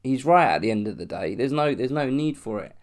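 A man's voice: medium pitch, lively intonation, fairly quick. Rambling young man with a cold. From London. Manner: rambling, restarts often, swears casually. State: has a cold and sore throat. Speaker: podcast host. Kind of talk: casual solo podcast monologue.

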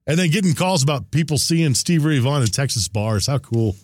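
Man, mid-pitched voice, show host, casual commentary, conversational, dry close sound. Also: expressive, a little fast, no echo. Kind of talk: casual commentary on an archive tape.

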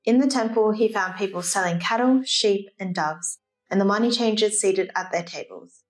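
A woman's voice: medium pitch, moderate pace, faint music behind, poor audio. A woman giving a formal liturgical reading of scripture. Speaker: scripture reader.

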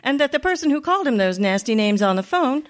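A woman playing a wry, quick-witted high-school teacher with sardonic, theatrical radio comedy delivery. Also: big pitch swings. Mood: helpful.

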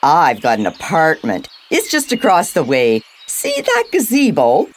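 An actress playing a chatty, friendly older widow who lives in a seniors' residence, in an audio drama. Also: female voice, low voice, wildly swooping pitch, measured pace, exaggerated voice. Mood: friendly, helpful.

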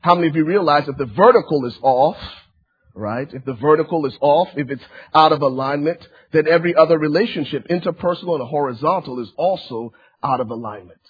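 Guest preacher, man from Liberia, fervent and repetitive, husky slightly high voice, lo-fi church audio. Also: fairly quick. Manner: fervent preaching with repeated phrases and many fillers. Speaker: church-planting pastor, guest speaker. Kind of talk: sermon.

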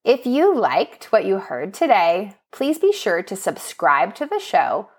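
A woman presenting book recommendations as a podcast host, speaking in an enthusiastic, expressive, prepared narrating style. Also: medium pitch, a little slow, breathy edge. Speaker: school librarian.

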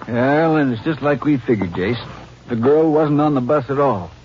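A man's voice: mid-range voice, normal speed, slightly rough, lightly acted. A man playing a drawling small-town sheriff. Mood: musing. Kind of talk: 1950s radio drama acting. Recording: dull old radio recording, hissy.

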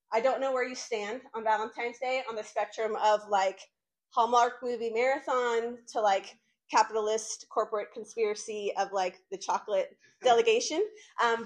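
A woman in her late thirties from North Carolina, chatting informally to college students in a faith talk. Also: on the high side. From North Carolina, United States. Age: late 30s. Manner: chatty and conversational, frequent "like" asides. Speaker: campus ministry speaker.

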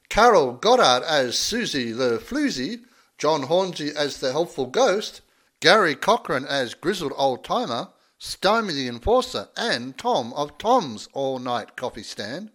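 A man plays an earnest announcer for a radio play. His voice is a little high and lively in intonation. He reads in a formal credit-roll style. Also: heavily acted. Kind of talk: old-time radio announcing, reading credits.

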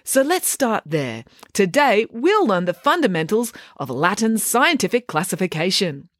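A brisk, oblivious scientist mother as a woman reads her aloud sails through a lesson with chirpy, sing-song confidence. Her voice leaps up and down with lively swoops. The sound is dry and close. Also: mid-range voice, dry recording, pitched above the performer's own voice. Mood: breezy. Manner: breezy, chirpy, talks over others.

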